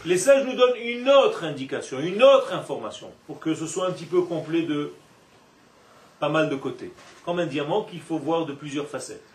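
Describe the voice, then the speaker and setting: A man giving an animated Torah class with playful asides, recorded from a distance in a roomy classroom. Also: fairly high pitch, normal speed. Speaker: Torah teacher. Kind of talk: religious class lecture. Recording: echoey room, from far off.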